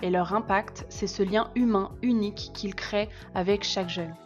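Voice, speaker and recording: A woman's voice: medium pitch, fairly even intonation, normal speed. A woman reading a scripted promotional narration. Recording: slightly dull sound, music behind. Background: music.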